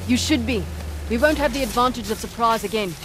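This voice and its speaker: A woman's voice: on the high side, fairly quick, natural voice. An actress playing a serious, guarded female mercenary in a video game, speaking in a dry, capable manner. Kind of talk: video game cutscene acting. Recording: vehicle and outdoor noise behind.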